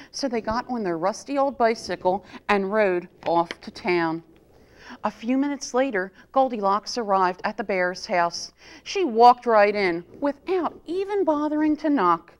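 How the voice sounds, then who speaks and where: A woman reading a children's story aloud as a storytime reader, in an expressive, deliberate manner. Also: mid-range voice. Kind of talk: expressive picture-book read-aloud for children.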